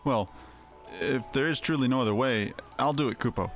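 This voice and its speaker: A man's voice: mid-range voice, normal speed, gravelly, soft background music, close to an everyday voice. A man voicing a small, timid but earnest moogle creature in a fantasy video game. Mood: reluctant.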